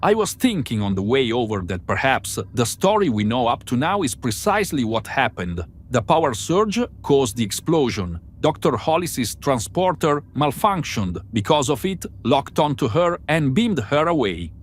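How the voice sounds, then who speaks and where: An analytical male crew member in a fan-made space drama, played by a man, lays out findings methodically. His mid-pitched voice moves with lively intonation over faint background noise. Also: moderate pace, few pauses, natural voice. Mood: explanatory. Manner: precise, methodical explaining. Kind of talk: amateur sci-fi audio drama acting.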